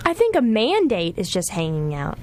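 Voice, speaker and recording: A young female radio panelist tells stories in a casual, conversational way. Her pitch is average and she speaks in a studio. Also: wildly swooping pitch, normal speed, darkish tone. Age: young adult. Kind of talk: casual anecdotal chat.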